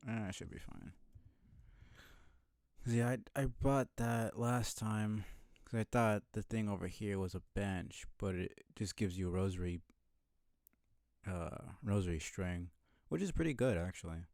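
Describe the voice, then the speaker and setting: A man with a low voice and a gravelly, creaky edge streams a video game. He thinks aloud in a casual, rambling way, musing over things as he goes. The sound is close and dry. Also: a little slow, darkish tone, no echo. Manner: musing, rambling, mild swearing. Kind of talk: casual live gameplay commentary, thinking aloud.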